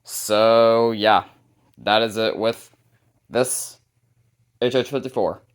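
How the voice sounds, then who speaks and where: A man with a fairly low voice hosts a hobby video. He talks casually, presenting items from a collection one by one in an informal show-and-tell way. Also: fairly even intonation, takes it slowly, very noisy. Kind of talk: casual collection showcase narration.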